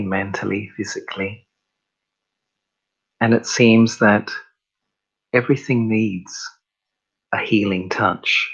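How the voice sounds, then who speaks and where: A man narrating a scripted guided meditation in a measured, solemn way, one short phrase at a time, on a dull recording. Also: lowish voice, fairly bright tone, breathy edge.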